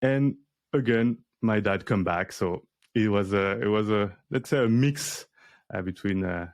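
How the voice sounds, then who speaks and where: A man's voice: fairly low pitch, measured pace, a little mellow, no room sound. A man, a career coach guest on an interview podcast, talking casually with many fillers and restarts. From France.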